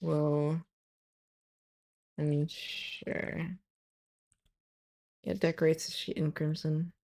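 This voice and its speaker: A low-voiced woman streamer is reading her own story aloud. She keeps stopping to reword phrases and fix small slips, and her voice is starting to go rough. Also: flat delivery, extremely slow, a little mellow. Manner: stops often to correct her wording, laughs at slips. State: losing her voice.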